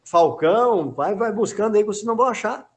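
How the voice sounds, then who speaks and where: A man's voice: high-pitched, animated, a little fast. A middle-aged former footballer as a podcast guest, talking in a casual, hesitant way and often repeating words while he thinks. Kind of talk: casual interview chat.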